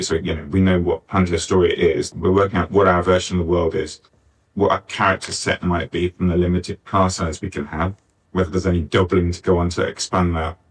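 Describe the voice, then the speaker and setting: Deep raspy guy on a live panel, recorded kinda far away. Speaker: panellist, pantomime scriptwriter. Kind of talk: informal live panel discussion. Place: arts centre venue, picked up from afar.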